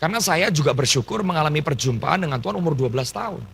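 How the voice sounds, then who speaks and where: A man's voice: a little high, lively intonation, fast talker, gravelly, fairly bright tone, breathy edge. A man in his thirties from Indonesia, a pastor telling his life story in a conversational sermon and dropping in English phrases. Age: 30s. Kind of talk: testimony sermon.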